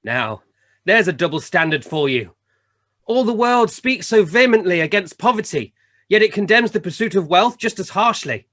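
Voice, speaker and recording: A man's voice: high-pitched, expressive, normal speed, sharp tone, heavily acted. A man playing a defensive, money-driven man in his prime, argumentative, in an amateur radio play.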